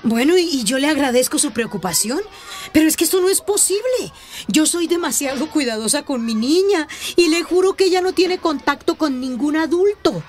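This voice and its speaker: Anxious defensive young girl's mother, high voice, Colombian accent, emphatic, radio drama. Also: animated, normal speed. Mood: indignant denial. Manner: emphatic, polite but protesting.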